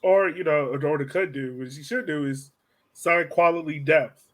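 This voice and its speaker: A man with a slightly higher than average voice co-hosts a sports podcast. His delivery is casual and conversational, like chat between fans. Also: moderate pace, fairly bright tone.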